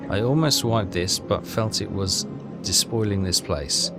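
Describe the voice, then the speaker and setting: A man with a fairly low voice talks through a game over loud music. He is casual and hesitant, thinking aloud and reading bits of text as he plays. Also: measured pace. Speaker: game walkthrough player.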